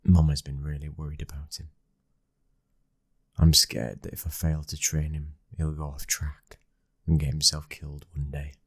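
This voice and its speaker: A British man acting a commanding mafia boss's son, a stern but loving husband, in an intimate ASMR roleplay with a husky voice. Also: rumbling low, monotone, normal speed, exaggerated voice. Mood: worried.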